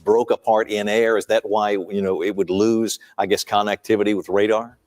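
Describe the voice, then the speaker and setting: A man's voice: on the low side, little pitch movement, moderate pace, a little mellow, no room sound. A man interviewing someone in a hesitant, conversational way.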